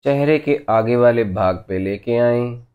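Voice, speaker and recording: A man leading a guided meditation as a teacher, giving gentle, unhurried instructions in short phrases with long pauses between them. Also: mid-range voice, smooth, phone-quality sound.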